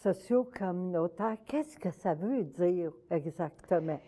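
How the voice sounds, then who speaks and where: A woman with a Québécois French accent, hosting a community TV interview, courteous and quick with short acknowledgements. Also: lowish voice, expressive, dark tone.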